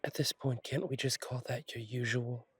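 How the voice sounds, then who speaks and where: A man playing a friendly, attentive fallen-angel bartender in an intimate roleplay audio, reassuring and chatty, with gentle wry humour and a husky voice. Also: medium pitch, fairly even intonation, normal speed, dry recording. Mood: welcoming, reassuring. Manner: reassuring, chatty, wryly playful, slightly formal wording. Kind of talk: intimate one-sided roleplay audio acting.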